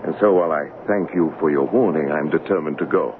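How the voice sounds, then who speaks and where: Determined young titled Englishman, very low voice, radio drama, muffled broadcast. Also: brisk, background noise. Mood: polite, assured.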